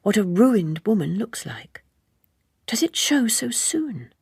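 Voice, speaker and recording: A woman reader gives voice to a cool, candid young woman in a literary audiobook. She is forthright and self-possessed, with a breathy tone, recorded close and dry. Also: mid-range voice, very animated, slow pace, darkish tone, no echo, pitched above the performer's own voice, exaggerated voice. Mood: wondering. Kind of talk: audiobook character dialogue.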